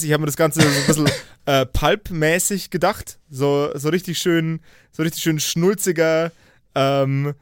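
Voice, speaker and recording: Higher-voiced man leading casually, dry close sound. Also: clear, resonant tone, dry recording. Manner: jokey, mixes English catchphrases into German. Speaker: game master of a role-playing podcast. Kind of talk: casual, slangy chat leading a character-creation session.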